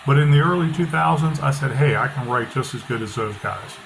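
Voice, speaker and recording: A middle-aged author talking casually with a loud, bass-heavy voice, blunt and opinionated, often repeating himself. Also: male voice, a little fast, vocal fry. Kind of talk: casual monologue. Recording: noisy background hiss.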